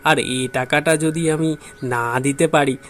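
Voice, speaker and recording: A man playing an aging, humiliated father in an audio drama, halting and stammering as he pleads. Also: a little high, steady tone, a bit bright, a little hiss or hum. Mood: pained pleading. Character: aging, humiliated, anguished Bengali father and grandfather. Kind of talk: audio story acting.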